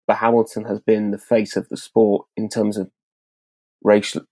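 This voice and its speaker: Guy guesting on a podcast, earnest, croaky fry in his voice, super dry close sound. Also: fairly low pitch, monotone, normal speed, dark tone, no room sound. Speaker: podcast guest, motorsport editor. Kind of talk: casual podcast discussion.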